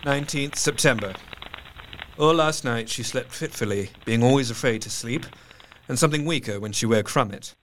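An actor playing a careworn Victorian doctor, narrating his diary with formal, measured diction in a gothic audio drama. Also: mid-range voice, fairly bright tone, slight background noise, lightly acted, rough recording. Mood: somber. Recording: faint music behind. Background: music.

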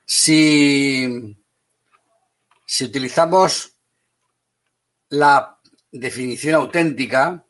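A man from Spain with a Spanish accent teaching an online class, brisk and teasing, calling on students by name. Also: mid-range voice, fairly bright tone.

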